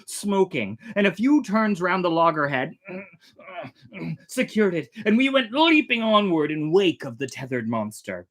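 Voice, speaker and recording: Storyteller, dramatic reading, theatrical delivery, wide swings in pitch, swells and hushes. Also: big pitch swings, unhurried. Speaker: storyteller, host.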